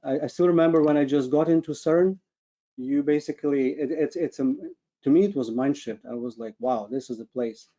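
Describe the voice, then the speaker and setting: A man's voice: a little high, fairly quick, dark tone, dull audio. A man from Ukraine with a Ukrainian accent gives an informal personal presentation, searching for his words in an earnest way. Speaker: webinar speaker, medical physicist. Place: online video call with screen share.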